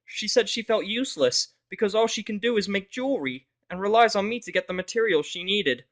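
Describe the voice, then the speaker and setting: Pony businessman and distraught dad played by a male reader, strained high pitch, pleading, halting, fan audio drama. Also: lively intonation, a bit bright. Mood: distraught, ashamed. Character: proud business stallion, a desperate, pleading father. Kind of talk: fan fiction audio drama character acting.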